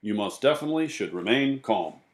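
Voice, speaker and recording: A man playing a dutiful, self-important policeman with a deliberate, formal manner in a children's storybook. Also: lowish voice, lively intonation, roomy sound, close to an everyday voice. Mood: earnest, self-important. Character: pompous, dutiful policeman who questions and answers himself.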